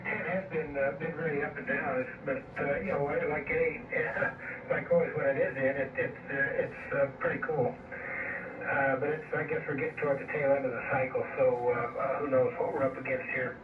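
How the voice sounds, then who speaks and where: Through heavy noise and a thin, echoing radio channel comes a man of sixty. He talks casually in a rambling, halting way, as an amateur operator chatting over the air. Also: medium pitch, steady tone. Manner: rambling, hesitant. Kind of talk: casual ham radio conversation. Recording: tinny narrowband radio audio, loud static, distant, echoey.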